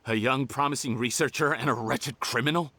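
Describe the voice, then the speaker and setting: Bright, intense bitter young man. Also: mid-range voice, brisk, no echo. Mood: bitter, defiant. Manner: dramatic, scornful, emotional. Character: bitter, defensive young male criminal researcher, devoted son. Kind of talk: English video game voice acting.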